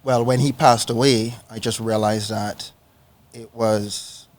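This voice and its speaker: A man's voice: lowish voice, steady tone, slow pace, no room sound. A man from Trinidad and Tobago, a podcast guest speaking casually in short phrases and often checking in with the listener. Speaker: podcast guest, financial educator. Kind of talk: casual personal storytelling in an interview.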